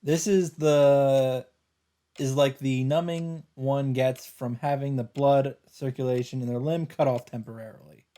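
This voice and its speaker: A man's voice: mid-range voice, steady tone, a little slow, warm tone. A man hosting a let's play, reading visual novel narration aloud with casual asides. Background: game music.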